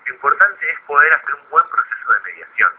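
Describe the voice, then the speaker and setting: An Argentine man, a guest psychologist in a radio interview, explaining in a didactic but halting way with repeated words. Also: on the high side, very animated, breathy edge. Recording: phone-like narrow radio line.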